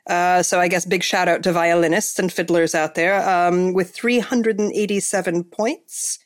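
A woman's voice: on the low side, steady tone, normal speed. A woman hosting a podcast, chatting casually and enthusiastically, with lots of fillers and restarts. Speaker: podcast host. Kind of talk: casual chat.

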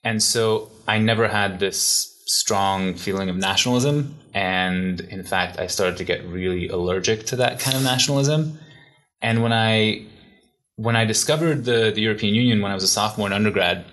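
Thoughtful low-voiced man from Hungary. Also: normal speed, fairly bright tone. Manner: thoughtful, with frequent restarts and fillers. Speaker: guest expert on the EU. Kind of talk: conversational interview answers.

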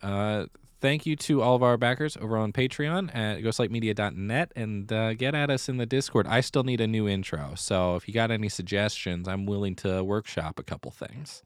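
A man's voice: lowish voice, moderate pace, clear, resonant tone, no room sound, clean studio sound. A man co-hosting a podcast, chatting casually and giving his opinions in an informal, fannish way. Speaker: podcast co-host. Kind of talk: casual chat about musical theatre.

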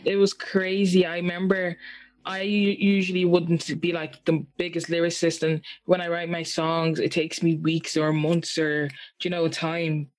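A man's voice: fairly high pitch, completely flat delivery, unhurried. A young man, a singer telling a story in casual chat, talking animatedly with lots of fillers. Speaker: singer and guest.

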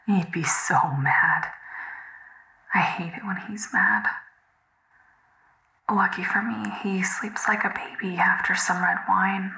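A woman's voice: medium pitch, fairly even intonation, slow, gravelly, pauses often, exaggerated voice. An actress playing a troubled, secretive young woman, whispering a hesitant, intimate audio diary in a roomy recording. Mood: secretive, anxious. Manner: hushed, often whispering, hesitant. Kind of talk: intimate confessional audio drama monologue.

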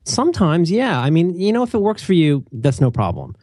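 A man hosting a casual chat, animated and opinionated, joking often and restarting his sentences. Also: on the high side, fairly quick, no room sound, studio quality. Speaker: podcast host.

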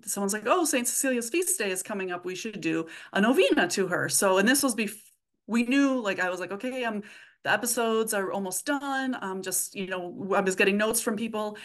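A woman chatting informally and casually as a guest being interviewed. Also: mid-range voice. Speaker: podcast creator, interview guest.

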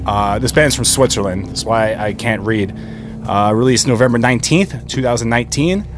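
A man's voice: medium pitch, normal speed, background noise. A man co-hosting a podcast, talking casually and with informal slang as he reviews music. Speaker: podcast co-host.

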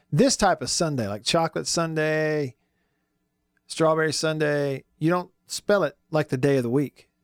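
A man hosting a sports radio talk show from a studio, hoarse today, chatty and jokey. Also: fairly high pitch, no room sound. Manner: chatty, jokey, lots of fillers.

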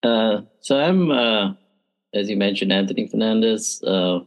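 A man's voice: fairly low pitch, unhurried. A middle-aged man from India, a math education professor, giving thoughtful interview answers with frequent "uh" and "you know".

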